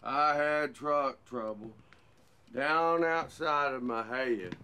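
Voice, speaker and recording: An actor playing a domineering, contemptuous working-class husband in a film drama, threatening and folksy. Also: male voice, mid-range voice, takes it slowly, sharp tone, smooth. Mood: scornful denial. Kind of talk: tense film drama acting.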